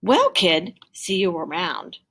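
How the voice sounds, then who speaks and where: Storybook street collector, read by a woman: kindly man down on his luck, low-key, gravelly, folksy. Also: huge pitch swings, takes it slowly, a bit bright, exaggerated voice. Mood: resigned.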